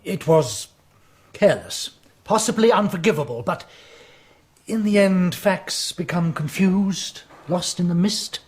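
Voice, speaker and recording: An actor in a radio drama playing a nervous, deferential court historian, hesitant and diplomatic. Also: male voice, high-pitched, expressive, measured pace. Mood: nervous, apologetic.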